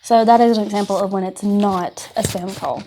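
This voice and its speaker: Mellow-voiced woman giving practical advice. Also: medium pitch, fairly even intonation, normal speed, slight background noise, poor audio. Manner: explanatory, cautionary, conversational. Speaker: presenter of a scam awareness class. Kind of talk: informal instructional presentation.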